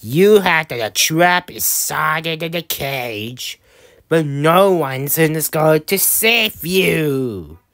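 Girl doing a evil boy frog villain, super slow n halting, gloaty, pitch swings all over. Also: lively intonation, a bit bright, full character voice. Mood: gloating, menacing. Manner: halting, stammering, gloating with drawn-out words. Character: gloating villainous male frog, world's most dangerous. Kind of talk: amateur improvised character voice clips.

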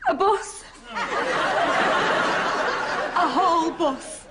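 An actress playing a gushing, excitable young wife in a studio sitcom. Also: female voice, extremely high pitch, drawn-out words, over a noisy crowd, heavy background noise, heavily acted. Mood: gushing delight.

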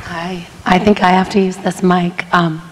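A woman in her forties speaks in a husky, fairly low voice with little rise and fall. She presents steadily and earnestly into a handheld microphone. The sound carries a long hall echo. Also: little pitch movement, moderate pace, some chatter behind. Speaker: panelist. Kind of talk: conference presentation. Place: conference hall stage. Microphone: handheld mic. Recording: echoey conference hall.

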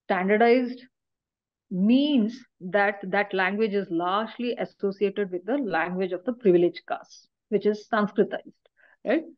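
A middle-aged woman teaches a university class online, speaking into a laptop webcam from a small room. She explains at a steady mid pitch and keeps checking in with her listeners. The audio is muffled and lo-fi, like a video call. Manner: explanatory, with frequent checking "right?" tags. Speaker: university lecturer.

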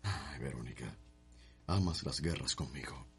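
An actor playing a harsh, controlling slave-owning Cuban husband, sneering and hot-tempered, in a radio soap opera. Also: male voice, extremely low pitch, measured pace, soft background music. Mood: cold, sardonic.

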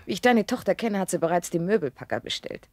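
A woman's voice: lowish voice, animated, fast, darkish tone, no echo. A woman playing an elegant, scheming, sarcastic girlfriend in a radio play. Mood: piqued, sarcastic.

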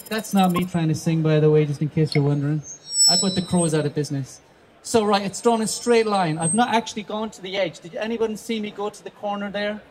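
A man's voice: high voice, noisy background. A middle-aged man from the west of Ireland with an Irish accent, chatty and self-deprecating, demonstrating a product live on a handheld mic in a large trade show hall. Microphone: handheld microphone.

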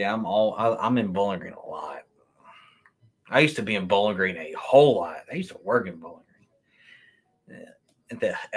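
A man in his thirties talks loosely to his livestream audience, answering chat questions as they come. His voice sits on the low side, easygoing and unhurried. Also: husky. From Kentucky. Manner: relaxed, chatty, answers chat questions on the fly. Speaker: sneaker livestream host. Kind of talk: casual livestream chat reading viewer comments. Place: room with shelves of sneakers, indoors.

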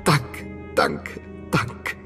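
An actor playing a nervous, boastful middle-aged weapons inventor in a video game, hesitant and eager to please. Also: male voice, drawn-out words. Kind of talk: video game voice acting in German. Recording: music behind the voice.

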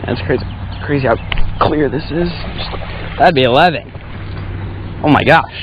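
A young man in his twenties, casually teasing and keeping score out loud while fishing from a small boat on a lake. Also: a little high, moderate pace, background noise. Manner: teasing, keeps score aloud. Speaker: fishing vlogger. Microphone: action camera on a chest harness.

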